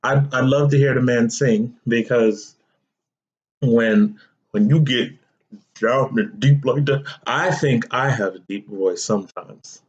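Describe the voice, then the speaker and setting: Young male podcast host, relaxed and chatty, slow delivery, slightly muffled audio. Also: medium pitch. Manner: relaxed, conversational, asks listeners directly. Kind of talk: casual solo podcast talk.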